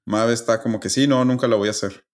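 A man with an average-pitched voice chats casually as a podcast co-host. He jokes and interjects in an everyday conversational style. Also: steady tone, quick pace. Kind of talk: casual chat.

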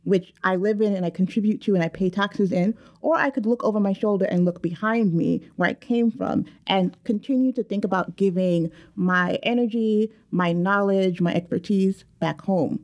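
A woman born in Guyana, speaking in a measured, earnest way about identity in a panel discussion. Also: mid-range voice, darkish tone, highs cut off. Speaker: panel guest. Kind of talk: reflective personal discussion.

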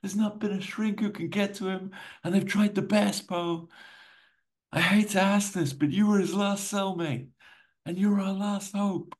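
A man's voice: high voice, steady tone, measured pace, voice pushed up. A man voicing an urgent, grave government agent who pleads solemnly in a raised, declamatory voice. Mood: urgent, pleading.

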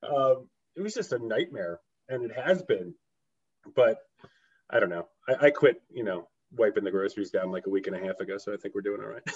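A man chatting casually as a podcast guest, informal and quick to laugh, on a remote call through a microphone. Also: lowish voice, expressive, a little mellow, slight background noise. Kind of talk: casual chat. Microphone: USB microphone on remote call. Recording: slightly dull remote call audio.

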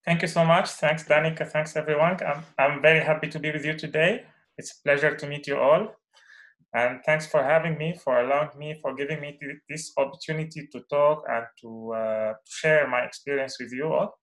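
A young man from Syria, a native Arabic speaker, talks to an audience as a guest speaker. He picks his words carefully and often pauses and starts over. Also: on the high side, fairly even intonation, fairly bright tone, rough recording. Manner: hesitant, many fillers and restarts. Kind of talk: personal talk.

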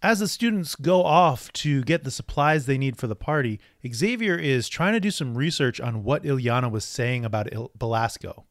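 A man's voice: medium pitch, expressive, normal speed, few pauses, no echo. Male podcast co-host, casual comic recap, narrates panels, dry close sound. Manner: narrates the panels and reads dialogue aloud.

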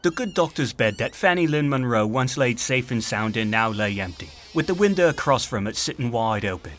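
A man's voice: mid-range voice, animated, fairly quick, a bit bright, slight background noise, natural voice. A man performing as the florid, dramatic narrator of an old-fashioned detective radio play. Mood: dramatic storytelling. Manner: florid, theatrical storytelling. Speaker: story narrator.

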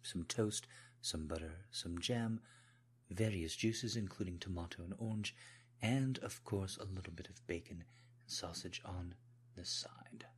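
A man plays an obsequious British manservant in an intimate audio roleplay. The voice is low and husky, with formal, deferential and apologetic manners toward his mistress. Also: a little slow, exaggerated voice. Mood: soft, doting. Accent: put-on British.

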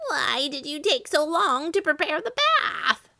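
A woman voicing a cruel old witch with a shrill, croaking voice, hollering in a storybook tale. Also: slow, bright, forceful tone. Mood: enraged. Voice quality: shrill, croaking, high-pitched. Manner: hollering and screaming demands. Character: enraged cackling old witch, cruel and screeching.

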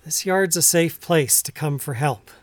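A man playing a gruff but decent middle-aged farmer in an audio drama, firm, plain-spoken and folksy. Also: on the high side, a little slow, dry recording, lightly acted. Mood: kindly, earnest. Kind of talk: naturalistic audio drama acting.

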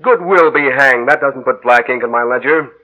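A man's voice: medium pitch, normal speed, sharp tone, close to an everyday voice. A man playing a greedy, callous businessman, curt and self-satisfied, in 1940s radio drama style. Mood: irritated, curt. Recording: dull old radio recording.

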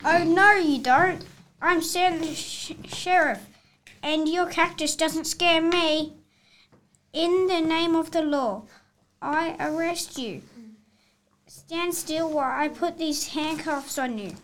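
A very high, bright voice for a stubborn western sheriff acted by a child. The proud lines are read slowly, as in a children's play. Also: full character voice. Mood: bold.

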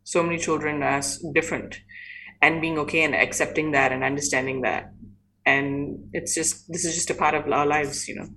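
A woman's voice: low voice, steady tone, moderate pace, sharp tone, poor audio. A woman guest in an interview who talks thoughtfully, often restarting her sentences, about her playgroup work. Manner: thoughtful, many restarts and fillers. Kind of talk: conversational interview.

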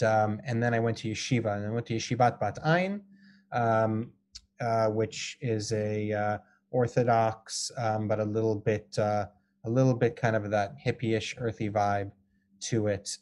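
Halting American man teaching a class. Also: medium pitch, darkish tone, slight background noise. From Israel and Colorado, US. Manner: halting, hesitant delivery with frequent ums and pauses. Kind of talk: informal online lecture. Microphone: video call. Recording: Zoom call audio.

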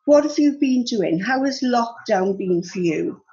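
A woman's voice: on the high side, animated, a little slow, warm tone, dull audio. A woman, hosting a podcast and putting questions to her guests in an organised, friendly way. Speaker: podcast host.

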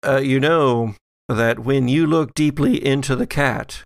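Male game master for horror tabletop play: unhurried, gravelly, descriptive storyteller who pauses for suspense. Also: mid-range voice, background noise.

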